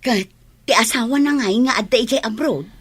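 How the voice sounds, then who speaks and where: An actress playing an inquisitive, envious gossip of a neighbour with a husky voice in a radio drama. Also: female voice, fairly high pitch, a little fast. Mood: curious, envious. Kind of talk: regional radio drama acting.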